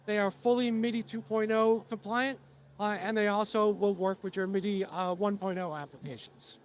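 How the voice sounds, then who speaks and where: High-pitched hoarse man presenting. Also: normal speed. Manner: steady explanatory delivery with frequent "uh" fillers and restarts. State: losing his voice, coughing now and then. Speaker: software company presenter. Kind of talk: product presentation to an audience. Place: presentation room at a music trade show.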